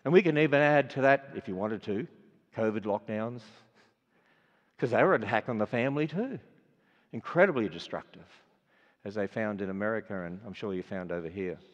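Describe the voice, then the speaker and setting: Older aussie guy preaching, punchy loud-then-quiet delivery, echoing big hall. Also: mid-range voice. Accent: Australian. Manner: emphatic, swinging between loud and quiet. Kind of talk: sermon. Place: large auditorium. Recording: dull sound with long hall reverb.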